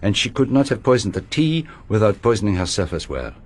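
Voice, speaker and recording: A shrewd Belgian detective in a radio drama, low-pitched with a very lively rise and fall. He has a French-speaking accent and words each probing question with formal, courteous precision. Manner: formal, precise, deliberate phrasing. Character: shrewd, courteous foreign male private detective, probing and measured.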